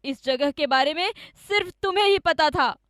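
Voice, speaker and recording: A woman's voice: high-pitched, expressive, moderate pace, a bit bright, no echo, clean studio sound, voice pushed up. A woman voicing a scheming, greedy village man with an insistent, dramatic manner in a cartoon story. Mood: angry, accusing. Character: scheming greedy village man, falsely accusing his friend.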